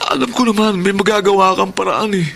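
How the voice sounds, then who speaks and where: A man's voice: sits high, a little fast, creaky, background noise. An actor playing an anxious young student pleading with his older sisters in a radio drama, hesitant and apologetic. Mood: pleading, remorseful. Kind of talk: Tagalog radio drama acting.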